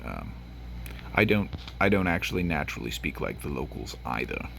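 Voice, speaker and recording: Sniffly Tennessee man with gravelly voice. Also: a bass voice, sing-song, normal speed, noisy background. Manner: rambling, with frequent fillers and restarts. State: sniffly from allergies. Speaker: gaming channel host. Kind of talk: casual gameplay commentary.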